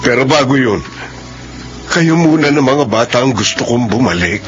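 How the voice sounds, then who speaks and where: A man's voice: medium pitch, lively intonation, moderate pace, natural voice. An actor with a Filipino accent playing a remorseful gambling husband and father in a radio drama, emotional and pleading. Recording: dull lo-fi audio, loud music behind.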